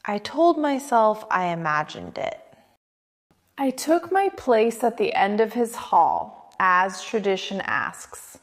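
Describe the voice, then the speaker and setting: Woman as slow, solemn ancient death-singer, cavernous reverb. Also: mid-range voice, big pitch swings. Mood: hushed, haunted. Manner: solemn, hushed, deliberate storytelling delivery. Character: centuries-old female death-singer spirit, proud, melancholy narrator. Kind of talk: gothic audio drama narration.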